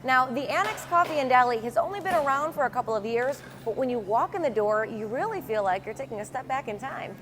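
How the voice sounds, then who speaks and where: A woman's voice: high-pitched, lively intonation, quick pace, hardly any pauses, fairly bright tone, slight background noise. A young woman reporting local news and weather for TV in an upbeat, chatty way, with a few fillers.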